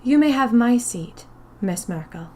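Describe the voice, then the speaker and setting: A woman's voice: a little high, expressive, a little slow, warm tone, pitched above the performer's own voice. A woman voicing a reserved, kindly young man of a secret magical society in audio fiction, polite, hesitant and earnest. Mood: courteous.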